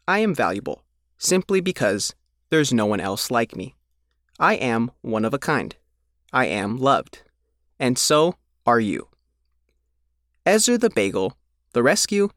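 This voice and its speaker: A man reading a scripted news feature as its reporter, in measured, deliberate short phrases. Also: medium pitch, fairly bright tone, no room sound, studio quality. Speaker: reporter reading his own written feature.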